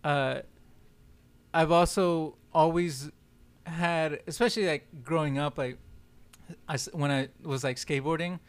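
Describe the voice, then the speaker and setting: Young guy, spanish first language, talking film stuff super casual, kinda high voice, real clean sound. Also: fairly even intonation, unhurried, pauses often, clean tone. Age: young adult. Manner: loose slangy talk full of "like" and "yo". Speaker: podcast guest, film director. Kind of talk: casual chat.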